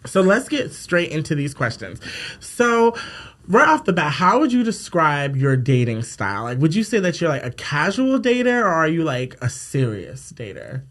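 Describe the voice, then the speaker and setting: A young man with a fairly high voice hosts a casual podcast conversation. He is animated and playful, speaking in loose, slangy language as he tells stories. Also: moderate pace. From Japan. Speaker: podcast host. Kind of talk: casual chat.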